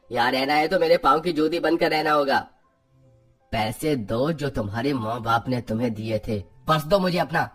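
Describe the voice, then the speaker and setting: A man's voice: a little high, husky, pitched above the performer's own voice. Casting: man playing a drunken, domineering young cartoon husband, harsh and scornful, music underneath. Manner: harsh, scolding, contemptuous.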